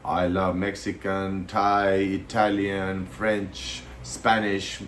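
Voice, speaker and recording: A man in his thirties, from Estonia, chatting casually to camera with a relaxed, hesitant delivery and frequent fillers. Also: deep voice, takes it slowly, a little hiss or hum. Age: 30s. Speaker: vlogger.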